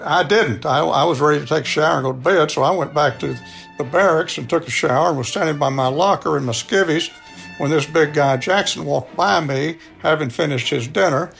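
A man's voice: on the high side, a little fast, creaky, a bit bright, faint music behind. An older man with a Texan accent telling a personal story in a folksy way, acting out the dialogue as he goes. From Texas, United States. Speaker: storyteller, radio show contributor.

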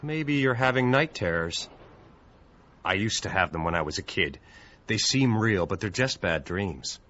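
Laconic super, bright lively voice. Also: male voice, lowish voice, measured pace. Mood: dismissive. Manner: clipped, folksy, casual phrasing. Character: laconic male apartment building superintendent, matter-of-fact. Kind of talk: audio drama acting. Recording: dull lo-fi audio.

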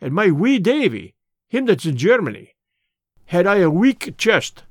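A man's voice: high-pitched, very animated, unhurried, slightly rough, no room sound, studio quality, exaggerated voice. A man reading an audiobook, voicing an older Scottish labour radical, blunt and opinionated, in broad dialect with a Scottish accent. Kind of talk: audiobook character voice.

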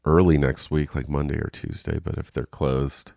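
A man's voice: very deep voice, level tone, normal speed, gravelly, a little mellow, dry recording, studio quality. A congested man hosting a solo podcast, rambling frankly with lots of ums and restarts, sounding a little nasal and coughing. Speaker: podcast host. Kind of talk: casual solo podcast monologue. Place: home.

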